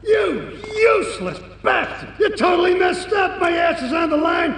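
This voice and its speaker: A man's voice: very high-pitched, animated, moderate pace, creaky, a bit bright, soft background music, exaggerated voice. An actor playing a corrupt, agitated and desperate man in a video game cutscene. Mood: furious. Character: corrupt, panicky, irate man in deep trouble. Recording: echoey, noisy, distant sound.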